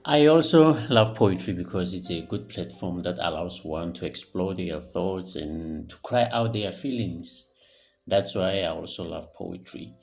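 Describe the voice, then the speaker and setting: A man hosting a podcast interview, introducing his guest and putting questions to him. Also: lowish voice. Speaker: podcast host.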